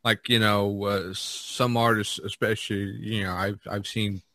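A man hosting a podcast, chatting casually and haltingly, often repeating words. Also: fairly low pitch, flat delivery, pushed and bright, no echo. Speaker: podcast host. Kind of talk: casual chat.

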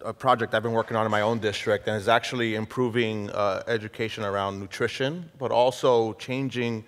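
A man's voice: fairly low pitch, fairly even intonation, fairly quick, in a large hall. A man speaking on a panel with a hesitant start. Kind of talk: panel discussion.

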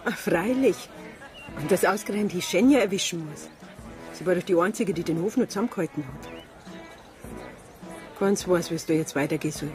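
A plain-spoken village farm helper , played by a woman talks in a Bavarian accent with a worried, sympathetic manner. It is naturalistic radio drama, with music clearly playing behind the voice. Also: lowish voice, fairly quick, pauses often, a little mellow, some chatter behind, close to an everyday voice. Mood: troubled. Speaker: actor in a radio crime drama.

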